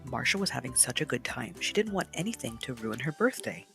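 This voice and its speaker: A woman reading a children's picture book aloud in an expressive, dramatic storytime style, pausing to explain the pictures. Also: very deep voice, gravelly, soft background music.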